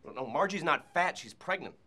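A man's voice: a little high, lively intonation, fairly quick, sharp tone. An actor playing a decent male employee in a film scene, protesting in disbelief. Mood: incredulous. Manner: protesting, incredulous.

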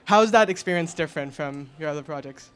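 A man's voice: on the high side, fast talker, a bit bright, clear, resonant tone. A man from the audience asking a polite, straightforward question.

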